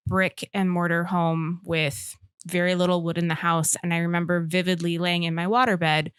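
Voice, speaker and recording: A woman's voice: lowish voice, flat delivery, moderate pace, a bit bright, clean tone, no room sound, clean studio sound. A young woman who grew up in Indonesia, sharing her personal faith story earnestly as an interview guest. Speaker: guest, aspiring missionary.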